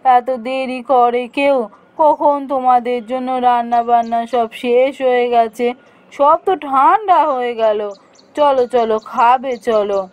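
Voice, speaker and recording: A woman performs a well-off village hostess for a cartoon. The voice is very high. She is bossy and patronising, with a fussy, chiding delivery. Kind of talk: cartoon voice acting.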